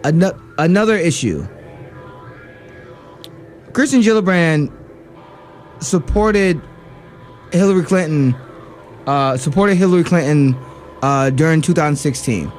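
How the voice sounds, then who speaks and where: A man's voice: fairly high pitch, a little slow, pauses often. A man with a slightly raised voice rants about politics on a talk radio program. He talks in a heated, argumentative way and keeps restarting his sentences around "uh". Faint music plays underneath. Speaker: radio show co-host. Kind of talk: political commentary.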